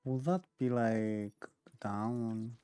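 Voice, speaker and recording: A woman's voice: a bass voice, fairly even intonation, very slow, warm tone, smooth, no echo, faint music behind. A woman giving casual game-streaming commentary, offhand, as if muttering to herself.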